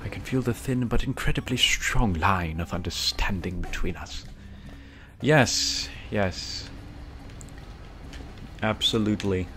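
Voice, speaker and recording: A man's voice: fairly low pitch, takes it slowly, pauses often, fairly bright tone, slight background noise. A young man giving casual gameplay commentary, rambling thoughtfully and often correcting himself mid-sentence. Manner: rambling, self-correcting, bookish. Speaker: streamer.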